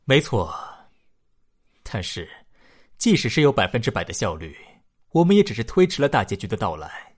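A man acting in a sci-fi radio drama as a thoughtful, long-lived future human, reasoning emphatically with a slightly husky voice. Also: lowish voice, big pitch swings, normal speed, pauses often. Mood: earnest, emphatic. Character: far-future man nearly two hundred, youthful, pondering humanity's fate.

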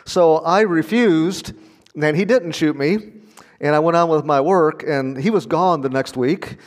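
A man's voice: on the high side, a little slow, big-room sound. A man preaching a sermon in an earnest, conversational way, with small laughs as he talks. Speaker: pastor.

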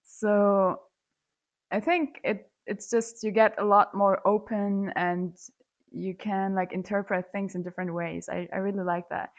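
Young woman, from Germany, student guest, casual chat, animated storyteller, mid-pitched voice. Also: unhurried. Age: young adult.

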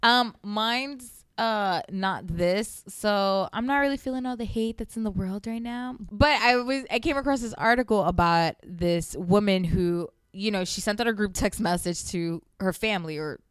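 A woman's voice: medium pitch, normal speed, few pauses, smooth, no echo, clean studio sound. A woman co-hosting a chat show, telling anecdotes in a casual conversational style. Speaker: podcast co-host.

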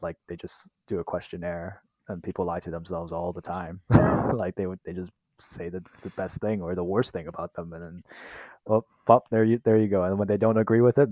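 Young guy on a podcast, kinda low voice, blunt and doubtful, lots of ums. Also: darkish tone, no room sound, highs cut off. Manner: frank and skeptical, with fillers and restarts. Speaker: podcast co-host. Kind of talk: casual chat.

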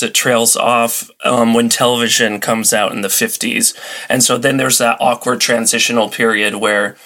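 A man with a bright, crisp-edged voice and a middling pitch talks as an enthusiast guest on a podcast. He explains in a loose, conversational way, with colloquial phrasing and clear keenness. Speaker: podcast guest, old-time radio enthusiast. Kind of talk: casual explanatory chat. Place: remote video call.

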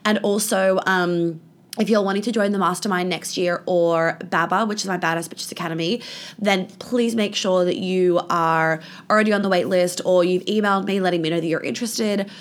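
A woman with a husky, hoarse voice from laryngitis, hosting a podcast in a casual, candid chat and swearing freely. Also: lowish voice, fairly even intonation, normal speed. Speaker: podcast host and life coach. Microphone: video call.

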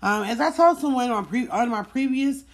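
A woman's voice: on the high side, expressive, normal speed. A woman hosting a podcast, talking in a casual, informal way and repeating phrases for emphasis. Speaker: podcast host. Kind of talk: casual chatty monologue.

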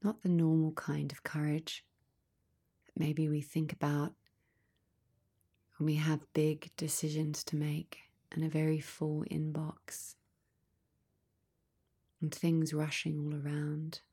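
Slow, hushed, husky woman guiding meditation. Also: sits low. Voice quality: soft, breathy, husky, near-whisper. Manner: calm, unhurried, long pauses between short phrases. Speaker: guided meditation leader.

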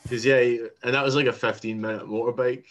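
A man with a Scottish accent casually telling anecdotes in an informal, sweary way, quick to laugh. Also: mid-range voice, steady tone, a little hiss or hum. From Scotland. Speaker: podcast guest.

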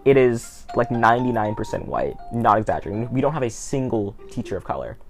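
A man's voice: medium pitch, brisk, faint music behind. A teenage male with an American accent, hosting a casual interview podcast with sarcastic asides.

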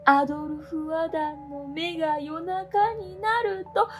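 Woman acting a high-voiced, quirky schoolgirl. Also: fairly even intonation, a little slow, over loud music. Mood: eerie, eager. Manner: guileless, eager storytelling. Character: friendly, quirky, earnest teenage schoolgirl. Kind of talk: conversational radio drama acting.

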